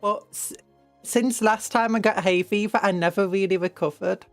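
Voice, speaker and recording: A young British woman with a croaky voice from hay fever, rambling playfully on a livestream while she paints miniatures, with restarts and sudden squeals. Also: mid-range voice, steady tone, normal speed, faint music behind. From Britain. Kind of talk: casual livestream chat.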